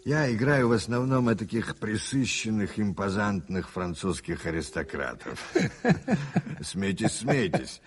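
An actor playing a worldly, self-mocking adventurer in a radio play, theatrical and teasing, with a husky voice. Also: male voice, on the low side, expressive, a little slow. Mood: amused, self-mocking. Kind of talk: 1980s radio play acting.

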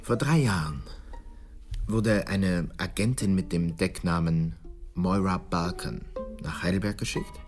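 An actor playing a dry, brisk male investigator in a crime radio drama, speaking in formal, precise phrases. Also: low voice, faint music behind. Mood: precise, explaining. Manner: formal, precise, pedantic wording. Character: male doctor working police case privately, dry, brisk, precise.

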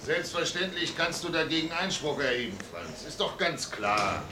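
Reasonable, calming male colleague. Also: on the high side, moderate pace, very noisy. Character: placating works council colleague, supportive and reasonable. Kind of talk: German radio play acting.

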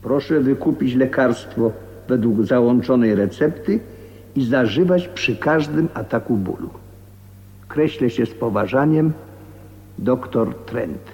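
Grave doctor in long reverb. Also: male voice, medium pitch. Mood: grave, clinical. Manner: measured, grave, matter-of-fact. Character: formal, blunt male heart specialist reading his letter. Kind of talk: radio drama voice-over of a letter. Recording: muffled, cavernous reverberant radio drama recording.

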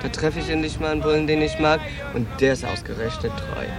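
An actor playing a sassy, world-weary transgender prostitute, flirtatious, candid and wistful, in a radio drama. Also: male voice, on the high side, quick pace, over music, close to an everyday voice. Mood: wry, resigned. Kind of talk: 1980s German radio drama acting.

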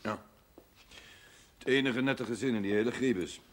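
A man plays a village doctor in a period television drama. He speaks at a middle pitch, articulate and dry, with a condescending, sardonic wit. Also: normal speed, a little hiss or hum, lightly acted. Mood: sardonic, matter-of-fact.

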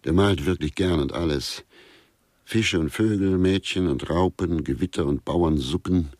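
An actor playing a wry writer in a radio play, gossiping in a pedantic, deadpan way and relishing his lists. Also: low-pitched, unhurried, dry recording, clean studio sound. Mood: wry, musing. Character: wry male writer with writer's scruples, gossiping about suspects. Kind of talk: German radio play dialogue.

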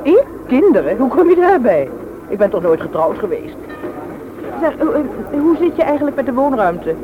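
A woman's voice: high-pitched, sing-song, normal speed, phone-quality sound, over music, a crowd in the background. An actress playing a forward, businesslike single woman who probes a suitor in a Dutch radio play. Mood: probing, bossy. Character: brisk, bossy, practical single woman sizing up a suitor. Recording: noisy old radio recording.

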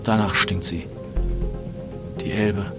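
A man's voice: on the low side, fairly even intonation, takes it slowly, over music. A man giving a scripted reading of literary texts, letters and poems.

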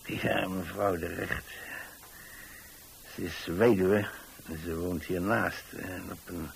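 A man's voice: deep voice, fairly even intonation, takes it slowly, gravelly, slight background noise, heavily acted. An actor playing a mild, rambling old widowed pensioner with a Dutch accent, hesitantly telling his story in a radio play. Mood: reminiscing, unhurried. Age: 60s or older.

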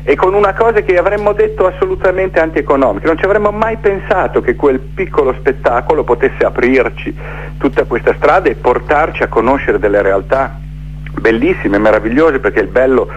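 A man's voice: mid-range voice, lively intonation, a little fast, muffled recording, a little hiss or hum. A middle-aged man telling his story conversationally in a radio interview, reflective, building up lists with repeated phrases. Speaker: theatre maker being interviewed.

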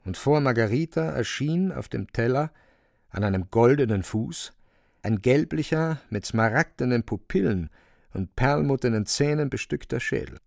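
A man's voice: medium pitch, vocal fry, a little mellow, no echo, clean studio sound. A man narrating a literary radio play in a scripted storytelling style.

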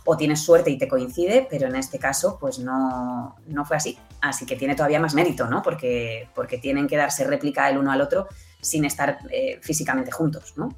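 A woman's voice: a bass voice, normal speed, few pauses, faint music behind. A woman giving chatty, emphatic answers as an interview guest, repeating herself for stress. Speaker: guest, audio fiction producer.

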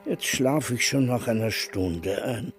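A man's voice: mid-range voice, expressive, unhurried, breathy edge. An older man acting a grumbling, teasing, hard-of-hearing man in his nineties in a radio play. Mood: aching, complaining.

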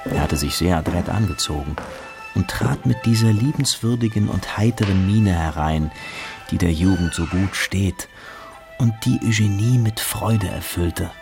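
Deep, creaky male narrator over music. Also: measured pace. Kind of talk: literary scripted narration for radio drama.

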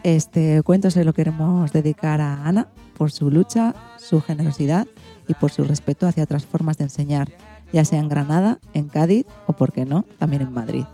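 Woman from spain sound, informal radio host, lowish creaky voice, bit of music behind. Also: moderate pace, dark tone. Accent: Spanish from Spain. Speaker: programme host. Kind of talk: informal radio programme presentation.

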